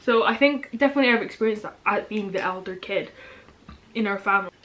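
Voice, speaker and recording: A woman's voice: mid-range voice, fast, fairly bright tone, slight background noise, poor audio. Rambling young woman. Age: young adult. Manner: rambling, many "um", "like", "kind of" fillers. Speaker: vlogger, older sister. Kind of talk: casual chat while eating.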